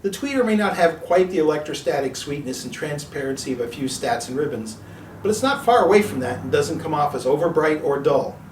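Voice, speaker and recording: A man presenting a scripted product review in a measured, articulate way with formal wording. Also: a little high, animated, a little hiss or hum. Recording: echoey room, distant mic, music behind.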